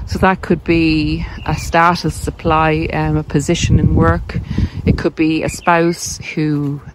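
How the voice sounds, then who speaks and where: A woman giving an informal, explanatory monologue as a podcast host, posing questions and answering them in a conversational way. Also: low voice. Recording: loud vehicle and wind noise, clicks.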